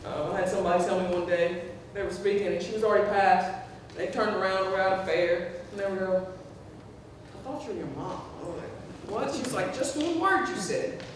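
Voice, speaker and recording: A middle-aged woman with a deep voice, speaking at her mother's tribute in a heartfelt, halting way. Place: indoor gathering hall. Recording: echoey hall from far off, faint noise.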